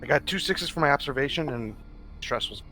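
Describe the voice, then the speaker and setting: Quick, bright-voiced man. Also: medium pitch, expressive, soft background music. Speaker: player in a tabletop game. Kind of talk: casual game-table chat. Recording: faint background noise.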